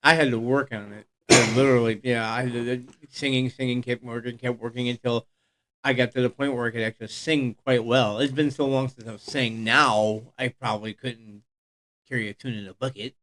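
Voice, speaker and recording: A man's voice: mid-range voice, fairly even intonation, fairly bright tone. An older man co-hosting a casual podcast chat, easygoing and agreeable. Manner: easygoing, agreeable, quick to laugh along. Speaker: podcast co-host.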